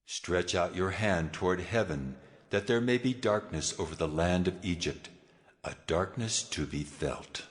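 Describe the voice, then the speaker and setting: A very deep, commanding God. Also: male voice, expressive, unhurried. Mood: solemn, commanding. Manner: grave, commanding. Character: almighty God, solemn, commanding, unhurried authority. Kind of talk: dramatized scripture audio acting.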